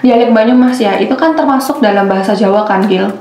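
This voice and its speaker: A woman's voice: fairly high pitch, fast, a little mellow, roomy sound. A young woman with a Solo-Yogya Javanese accent, co-hosting an educational podcast and asking curious follow-up questions. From Central Java. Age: young adult. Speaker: podcast co-host, intern student. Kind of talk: scripted educational chat.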